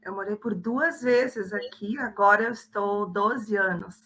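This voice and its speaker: Woman, rio grande do sul accent, educator, steady and informative, bright tense voice. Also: mid-range voice, unhurried, breathy edge, low-quality recording. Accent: Rio Grande do Sul Portuguese. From southern Brazil. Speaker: guest, educator. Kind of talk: casual panel conversation.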